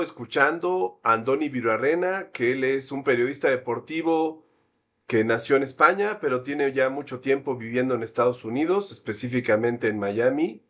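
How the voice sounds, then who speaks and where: A man hosting an academic seminar, introducing a guest in a friendly, measured way. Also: mid-range voice. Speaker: seminar host.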